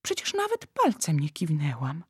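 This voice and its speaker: A curious little girl from a storybook, voiced by a woman narrator. She is timid and questioning, with a soft, breathy tone and lively pitch. The sound is dry and close. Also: moderate pace, no room sound, exaggerated voice. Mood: timid, puzzled.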